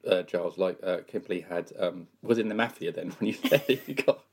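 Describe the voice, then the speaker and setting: A man in his late thirties with a fairly low voice co-hosts a podcast. He tells rambling, jokey stories with a dry sense of humour. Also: fairly quick. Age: 30s. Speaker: podcast co-host. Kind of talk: casual podcast chat.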